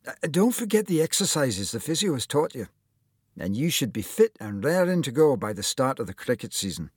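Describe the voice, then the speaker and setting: A man's voice: medium pitch, sing-song, fairly quick, breathy edge, dry recording, studio quality, pitched above the performer's own voice. A man voicing a kindly older male surgeon with a Scottish accent and an encouraging, avuncular manner in a storybook reading.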